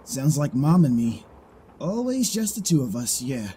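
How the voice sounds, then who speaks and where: A casual, sincere joker voiced by an actor in a fan audio drama. The voice swings widely in pitch, chatty and expressive. There is faint noise on the recording. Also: a little slow. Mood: fond, wry. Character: easygoing joker with a sincere, affectionate heart.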